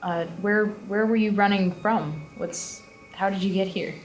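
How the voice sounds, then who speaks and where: A woman's voice: mid-range voice, fairly even intonation, measured pace. A woman playing a tabletop roleplaying game, talking casually and slipping into her character's words. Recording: roomy, faint music behind.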